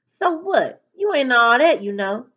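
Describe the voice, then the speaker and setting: A woman's voice: mid-range voice, lively intonation, normal speed, voice pushed up, close to an everyday voice. Woman acting schoolboy bully, cocky, taunting, storybook read-aloud, muffled recording. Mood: scornful.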